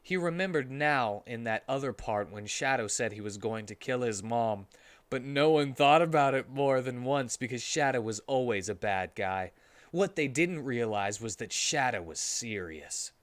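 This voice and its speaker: A man's voice: medium pitch, animated, moderate pace, no echo. A man narrating a story aloud in a mock-serious, theatrical style, playing absurd lines straight. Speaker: streamer reading a story aloud.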